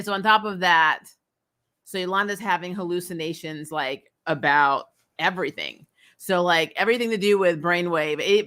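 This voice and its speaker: A woman's voice: lowish voice, sharp tone. A woman hosting a casual livestreamed TV recap, speaking in an emphatic, blunt, opinionated way with casual swearing. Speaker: host of a TV show recap channel.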